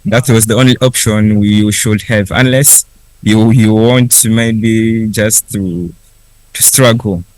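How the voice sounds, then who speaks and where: Slow-spoken man, native Kiswahili speaker. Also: fairly low pitch, steady tone, a little mellow, no room sound, rough recording. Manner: unhurried, with frequent restarts and pauses. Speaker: refugee speaker at a conference. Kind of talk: personal story told slowly.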